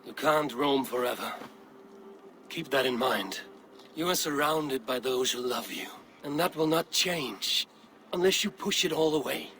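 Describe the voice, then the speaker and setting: A steady Viking chief; calm, firm, plainspoken. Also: male voice, mid-range voice, unhurried, slight background noise. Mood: caring. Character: steady male Viking clan leader. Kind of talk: video game voice acting.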